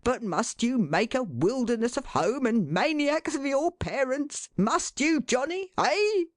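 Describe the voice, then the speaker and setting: A reader voicing a flustered, harried father of a large poor family who scolds in exasperation, then softens. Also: sits high, lively intonation, measured pace, no room sound, clean studio sound, pitched above the performer's own voice. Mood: scolding. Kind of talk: audiobook character voice.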